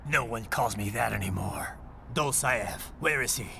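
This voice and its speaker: An actor playing a grim, terse warrior with a killer's past in a video game, curt and brooding, with a gravelly voice. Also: male voice, medium pitch, normal speed, slight background noise, lightly acted. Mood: curt, guarded. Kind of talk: video game cutscene acting. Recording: loud music over the dialogue.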